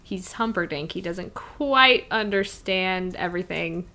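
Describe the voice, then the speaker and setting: A woman's voice: lowish voice, unhurried, sharp tone. A woman co-hosting a podcast, discussing a movie casually in a playful, teasing way. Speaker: podcast co-host.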